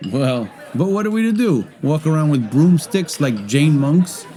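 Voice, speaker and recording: A man's voice: fairly high pitch, lively intonation, measured pace, mellow. A man acting in an audio drama as a brash, argumentative friend who debates in a glib, provocative, conversational way. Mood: teasing debate. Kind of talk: naturalistic audio drama dialogue.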